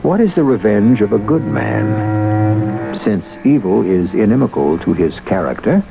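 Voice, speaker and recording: A man hosting a vintage radio mystery, narrating in a dramatic, portentous way with deliberate phrasing. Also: on the low side, sing-song, gravelly, highs cut off. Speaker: radio drama host and announcer. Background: loud music.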